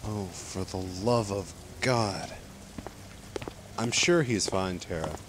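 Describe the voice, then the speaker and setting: A man's voice: sits low, slow, background noise. A man playing a dry, practical, slightly impatient young man in an amateur radio play. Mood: dismissive.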